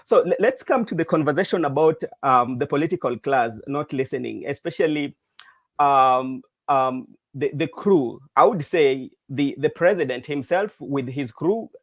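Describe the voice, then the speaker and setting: A male host leads an interview, asking questions in an even, measured way. His voice sounds close and dry, with no room around it. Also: medium pitch, no room sound. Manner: measured, lots of short backchannel acknowledgements.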